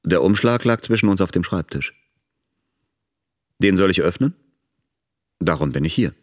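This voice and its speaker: An actor playing a calculating, self-important man of influence, delivering a measured dramatic monologue. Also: low voice, expressive. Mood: controlled, calculating.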